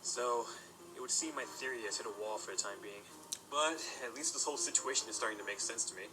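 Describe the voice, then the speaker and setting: A man playing a brisk young pony knight apprentice. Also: mid-range voice, background noise, close to an everyday voice. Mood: calm. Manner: patient, explanatory, gently self-correcting. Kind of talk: fan audio drama acting. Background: music.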